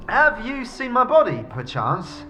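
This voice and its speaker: A man's voice: very high voice, huge pitch swings, unhurried, bright, forceful tone, soft background music, pitched above the performer's own voice, full character voice. A man voicing a cheerful, eccentric little bodiless fellow in a box for a fantasy game audio drama, with chirpy, old-fashioned politeness. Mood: chatty.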